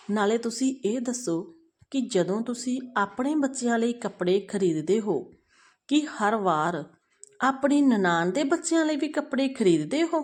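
A woman storyteller playing a fed-up, protective young mother who scolds indignantly with pointed questions. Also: on the high side.

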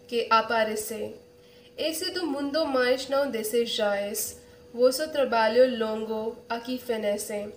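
Young woman, unhurried verse reading, echoey room with slight noise. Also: a little high. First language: not Portuguese. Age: young adult. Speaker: poetry reader. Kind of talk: epic verse read aloud. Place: small room indoors.

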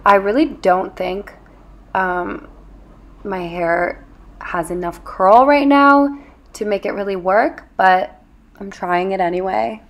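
A woman's voice: medium pitch, slow. A young woman chatting casually and informally to viewers as a beauty vlogger, sprinkling her talk with "like". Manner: informal, chatty, frequent "like" and "you know". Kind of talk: casual chat to viewers while doing beauty routine. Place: at home in an apartment.

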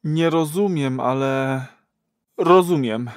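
A man's voice: on the high side, takes it slowly, no echo, clean studio sound. An actor playing a nervous, awkward first-time male patient in an audio drama, hesitant and sheepish. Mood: embarrassed, hesitant.

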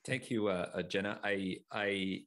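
A man's voice: on the low side, steady tone, unhurried, fairly bright tone. A man in his forties moderating a panel on a video call, speaking conversationally with some hesitations. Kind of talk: bilingual moderation. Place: home study. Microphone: headset.

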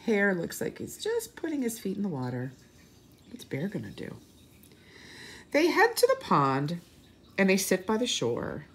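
Slow, animated woman reading to children. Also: medium pitch, breathy edge. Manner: animated, pausing, with asides and questions to children. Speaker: children's story reader and teacher. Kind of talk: expressive picture-book read-aloud for young children.

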